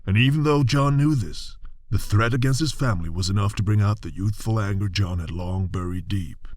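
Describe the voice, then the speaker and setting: An actor playing a folksy old-west storyteller, narrating a western radio serial in a wry manner. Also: male voice, lowish voice, a little fast, a little mellow, dry recording, studio quality, natural voice. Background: music behind the voice.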